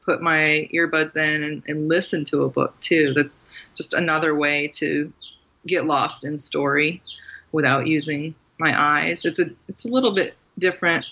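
Woman guest author, bright tone, chatty and laughing, slightly muffled audio. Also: low-pitched, steady tone, moderate pace, husky. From Maryland, USA. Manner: chatty, laughs often, many fillers. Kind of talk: casual interview talk.

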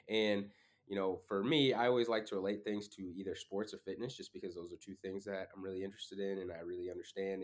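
A man with a lowish voice hosts his own fitness podcast while stuffed up and a little sniffly. He talks in an easygoing, conversational way, filling pauses with ums and uhs. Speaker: podcast host. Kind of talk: casual solo podcast talk.

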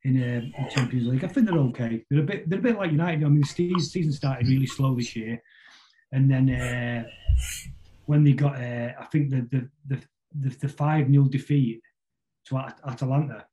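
A middle-aged man with a Manchester accent, chatting casually as an interview guest in a rambling, halting way full of tag questions. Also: mid-range voice, warm tone, poor audio. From Manchester, England. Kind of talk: casual conversational football chat.

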